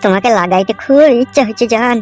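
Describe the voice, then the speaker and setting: A man's voice: high-pitched, quick pace, lightly acted. Man acting a yearning cartoon lover. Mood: yearning, amorous. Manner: sentimental, wooing. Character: jilted young male lover, sentimental and yearning. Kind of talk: amateur comedy cartoon dubbing. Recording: music under the voice.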